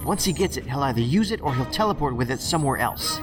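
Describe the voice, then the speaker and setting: Man playing stubborn reckless federal agent, sardonic, urgent, clipped phrasing, audio drama, clear backing music. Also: medium pitch, animated, fast, natural voice. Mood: urgent, intense.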